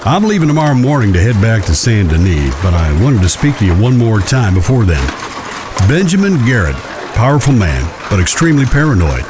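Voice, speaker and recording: An actor in an audio drama playing a discreet Old West judge, speaking in a formal, careful, courteous way. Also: male voice, lowish voice, background noise.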